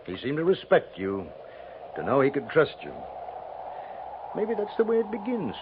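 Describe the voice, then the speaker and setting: Frontier Jesuit: American, gentle, steadfast, lively inflection, hissy old radio sound. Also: male voice, a little high, moderate pace. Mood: earnest, hopeful. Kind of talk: 1950s radio drama acting. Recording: tinny, noisy 1950s radio recording.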